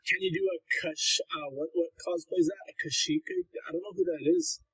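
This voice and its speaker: A man's voice: a little high, fairly even intonation, a little fast, a bit bright, husky, low-quality recording. A young man hosting a casual livestream Q&A. He reads chat questions aloud and answers them bluntly and ramblingly, with plenty of "like" fillers. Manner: blunt, rambling, heavy use of "like".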